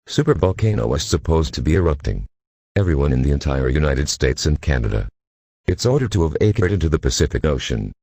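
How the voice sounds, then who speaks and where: A man's voice: rumbling low, darkish tone, breathy edge, dry recording. A synthetic-sounding man reading a scripted emergency alert broadcast as the announcer.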